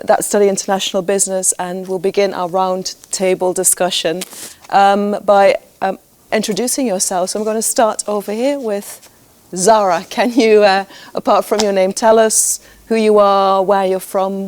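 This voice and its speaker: A woman of middle pitch runs a round-table discussion as a coach. She prompts each student with short questions and often pauses on "um" and "uh". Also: little pitch movement, darkish tone, slight background noise. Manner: friendly, prompting questions with fillers.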